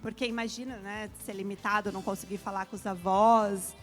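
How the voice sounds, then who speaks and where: Uma mulher brasileira de meia-idade, especialista em educação internacional, explicando com calma e muitos "né" numa entrevista. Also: female voice, medium pitch, brisk, faint music behind. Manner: explains at length, frequent "né" tags. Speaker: international education specialist, guest. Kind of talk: explanatory interview talk.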